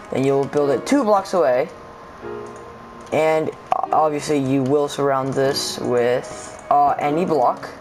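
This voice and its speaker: A man who is sick, his voice sounding off, casually explaining a game build step by step and counting blocks aloud. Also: fairly high pitch. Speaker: game tutorial creator. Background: background music.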